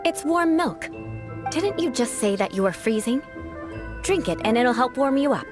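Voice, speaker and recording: A proud aristocratic young knight in an anime-style game. She speaks high with lively swoops, formal and imperious, making cool mock threats, over clear background music. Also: normal speed. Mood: teasing, imperious. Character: haughty young aristocratic female knight, coolly vengeful yet caring.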